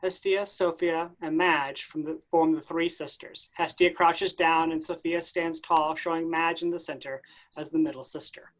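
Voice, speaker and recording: A man's voice: on the high side, steady tone, normal speed, fairly bright tone. A man reading stage directions as scripted narration at a play reading.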